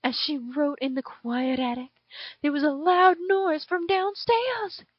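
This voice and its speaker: A woman's voice: high voice, fairly even intonation, unhurried, no echo, muffled recording. A woman voicing a drawling southern dragon. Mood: dramatic. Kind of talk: reading fan fiction aloud.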